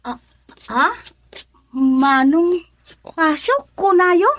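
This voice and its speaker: A young daughter in a radio drama, with a very high, girlish voice. She has an Ilocano accent. She sounds reluctant and plaintive, protesting against pressure. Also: drawn-out words. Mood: dismayed.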